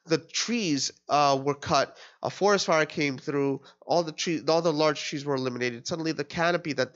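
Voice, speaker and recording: A stuffed-up, nasal man in his thirties explains a test question at his desk, pausing on "uh" and "um" and restarting as he reasons aloud. His voice sits at an ordinary pitch. The recording is dull and low-fidelity, like a webcam mic. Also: a bit bright. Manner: thinking aloud with fillers and restarts. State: nasal from a cold. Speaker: tutor.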